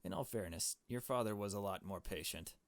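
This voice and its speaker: A man voicing a calm, apologetic, cautious male getaway driver in a visual novel, speaking in a measured, polite and formal way. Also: lowish voice, vocal fry. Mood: placating.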